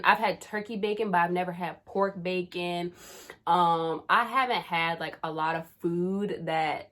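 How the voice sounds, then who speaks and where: A woman in her twenties from the Caribbean and New Jersey, chatting casually and playfully to her viewers with lots of slang. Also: fairly low pitch. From Caribbean, raised in New Jersey. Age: 20s. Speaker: YouTuber. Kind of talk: casual vlog chat.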